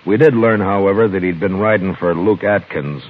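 An actor playing a weary, steady, laconic Old West marshal in a 1950s radio western. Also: male voice, low voice, normal speed, breathy edge. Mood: sober narration. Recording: muffled, noisy old-time radio recording.

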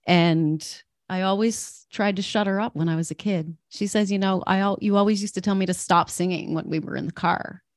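A woman's voice: medium pitch, fairly even intonation, fairly quick, few pauses, no room sound, studio quality. A middle-aged woman hosting a podcast, chatting casually and laughing often. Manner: warm, laughs often, soft affirmations. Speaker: podcast host.

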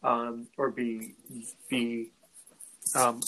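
A man from North America hosting a podcast interview, speaking in a halting way and often repeating words before finishing a thought. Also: mid-range voice, flat delivery, sharp tone, low-quality recording. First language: English; French is his second language. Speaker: podcast host. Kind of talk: conversational interview.

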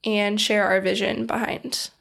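A woman's voice: medium pitch, a little slow, creaky. A young woman co-hosting a podcast in casual chat, just getting over a cold and laughing often. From Oregon. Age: young adult. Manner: laughs often, relaxed and chatty. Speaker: podcast co-host.

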